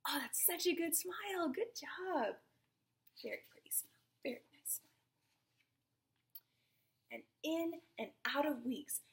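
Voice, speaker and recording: Very animated, high-voiced young woman reading to children. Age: young adult. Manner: animated, asks the child listener questions and pauses for answers. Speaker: reader of a children's picture book. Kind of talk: interactive storybook read-aloud for small children.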